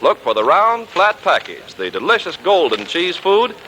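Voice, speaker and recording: A man's voice: high voice, sing-song, normal speed. A man with an American accent reading scripted 1940s radio announcements in a polished, emphatic way. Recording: noisy 1940s radio recording.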